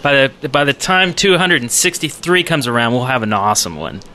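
A man's voice: medium pitch, animated, brisk, sharp tone, slight background noise. A man hosting a podcast with casual, jokey banter. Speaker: podcast host.

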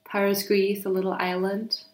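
A woman's voice: mid-range voice, monotone, moderate pace. A woman of twenty-nine in casual conversation at home, answering her partner in a matter-of-fact way. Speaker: partner being interviewed. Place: kitchen, at the table during a meal. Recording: roomy echoing home recording.

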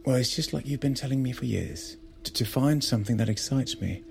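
A man's voice: mid-range voice, a little fast, vocal fry, darkish tone, lightly acted. A man playing a defensive estranged husband who wants change, in a naturalistic audio drama, justifying himself with some hesitation. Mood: upbeat.

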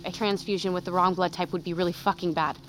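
A woman's voice: lowish voice, steady tone, fast talker, lightly acted. A woman playing a no-nonsense emergency medic in an audio drama, urgent, blunt and clipped, against a noisy background. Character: brisk, no-nonsense woman doing emergency surgery.

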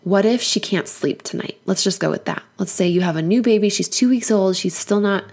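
A woman hoarse from a cold, giving an earnest, encouraging solo podcast talk as a life coach. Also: medium pitch, brisk, darkish tone.

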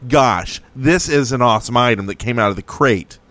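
Male co-host, mid pitch, dry studio-like sound, informal wry banter. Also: normal speed, a bit bright, no room sound. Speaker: podcast co-host. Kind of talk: casual chat.

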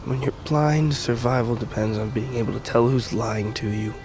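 An actor playing a gravely wounded, fatalistic blind tribesman in an audio drama, speaking weakly and haltingly as he reflects. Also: medium pitch, darkish tone, close to an everyday voice. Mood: pained, fatalistic. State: wounded, weak, halting breath. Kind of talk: audio fiction drama acting. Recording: music bed under the dialogue.

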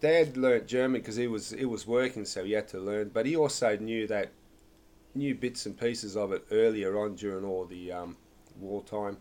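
A man's voice: fairly low pitch, moderate pace, darkish tone. A middle-aged man chatting casually, explaining things at length with lots of restarts. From Australia. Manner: rambling, explains with many fillers and restarts. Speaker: interviewee. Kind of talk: casual conversation.

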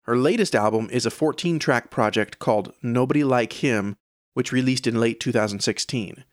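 A man's voice: mid-range voice, normal speed, no echo, studio quality. A man hosting an audio newsletter, reading scripted music news in a presenter's style. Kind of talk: scripted narration. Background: background music.

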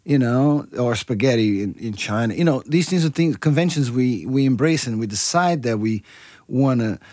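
A man's voice: mid-range voice, expressive, normal speed, a little mellow, no echo, studio quality. A man from Brazil, a musician, talking casually in an interview with many restarts and fillers. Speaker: musician guest.

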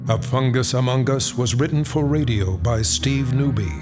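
A man announces a radio program, reading scripted credits. His voice is medium in pitch, set over prominent music. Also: moderate pace.

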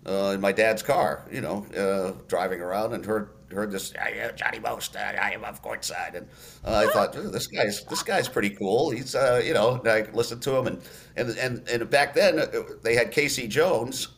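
An older man, a radio announcer, telling stories in a relaxed interview with lots of fillers and restarts. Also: on the low side, moderate pace, vocal fry, a little hiss or hum. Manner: storytelling, many fillers and restarts.

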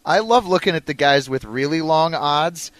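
A man hosting a sports radio show, talking casually with playful, excitable banter and reading sponsor spots. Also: on the high side, moderate pace, no room sound.

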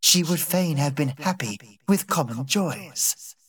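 Audiobook: male reader doing a bitter old servant woman, low and harsh, slow and brooding. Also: expressive, breathy edge, far from the mic, heavily acted. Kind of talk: audiobook character reading.

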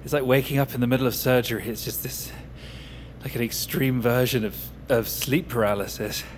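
A man's voice: medium pitch, steady tone, slight background noise, close to an everyday voice. A man playing a jittery, hesitant tech operator under strain in an audio drama. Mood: dazed. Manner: halting, informal.

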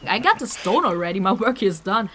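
A woman playing a cheeky, squeamish young adventurer in a fantasy audio drama, speaking in a casual, wry and expressive way. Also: medium pitch, a little fast, slight background noise.

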